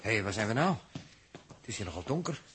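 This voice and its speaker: An actor playing a formal, pompous official who speaks with stiff indignation in an old radio play. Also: male voice, lowish voice, very animated, brisk, background noise. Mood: stuffy. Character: pompous official man on a mission. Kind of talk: 1960s radio play acting.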